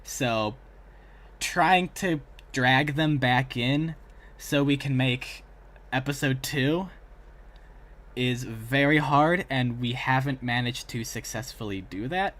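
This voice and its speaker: A man's voice: medium pitch, slow pace, a bit bright. A young man who is a streamer, chatting casually and at rambling length as he reacts to videos for his live viewers. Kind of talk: casual livestream chat and reaction.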